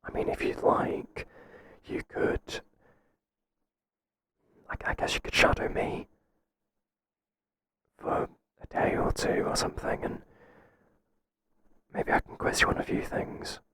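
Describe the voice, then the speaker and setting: A man playing a mild, kindly workplace boss in a whispered ASMR roleplay, soft-spoken and halting with a breathy voice. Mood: hesitant, accommodating. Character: mild, hesitant, kindly male workplace boss, flustered by flattery.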